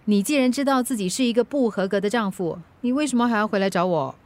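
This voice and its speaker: A woman's voice: medium pitch, quick pace, a little mellow, lightly acted. An actress playing an independent middle-aged ex-wife and mother in a radio drama, frank and chiding, firm but caring. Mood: hurt, firm. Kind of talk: Chinese radio drama acting.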